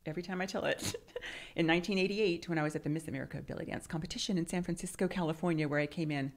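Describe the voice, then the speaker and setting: Woman, 60s, podcast host; deep, slightly fried tone, relaxed anecdotal chat. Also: racing. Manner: chatty, anecdotal storyteller. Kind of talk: casual interview chat.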